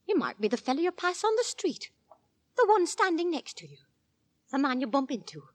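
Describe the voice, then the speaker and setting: A middle-aged Victorian housewife in London, anxious and gossipy. Her fairly high voice swings up and down with fretful drama, in the manner of a 1940s radio play. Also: sing-song, fairly quick. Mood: dread. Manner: dramatic, fretful, reading news aloud.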